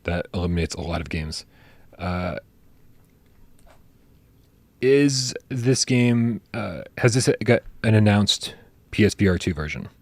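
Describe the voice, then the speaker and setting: A low-pitched man hosting a casual gaming livestream, close to a dry mic. He talks in animated bursts, swears casually and restarts his sentences often. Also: takes it slowly, long pauses, dry recording. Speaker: podcast host.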